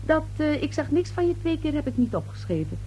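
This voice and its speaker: An actress playing a brash, slippery young newspaper reporter in a radio play, glib, insistent and patronizing. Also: female voice, high-pitched, big pitch swings, fairly quick, dark tone. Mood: prying, smooth. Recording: noisy old radio drama recording.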